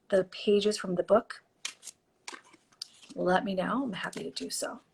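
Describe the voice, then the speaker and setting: A woman hosting a live stream, chatting and giving oracle card readings, her voice a little hoarse. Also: mid-range voice. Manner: warm, chatty, reads card texts softly. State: slightly hoarse, losing her voice. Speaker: podcast host and intuitive reader. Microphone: phone or computer mic for a live stream.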